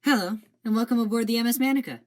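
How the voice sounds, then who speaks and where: A woman's voice: on the high side, racing, a little mellow, lightly acted. A woman voicing an earnest, starstruck young male ship security officer in an amateur audio drama. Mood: eager.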